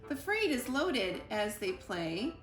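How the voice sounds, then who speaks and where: A woman reading a children's picture book aloud in an expressive storytime style, with deliberate, playful emphasis on the rhyming words. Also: fairly high pitch. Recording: roomy echo with music behind. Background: music.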